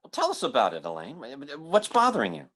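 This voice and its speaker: A man's voice: medium pitch, wildly swooping pitch, fast talker, a bit bright. Dramatic, wildly swooping male storyteller. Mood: concerned. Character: male narrator and concerned friend, grave, dramatic. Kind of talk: old-time radio drama acting and narration. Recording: radio drama with musical underscore.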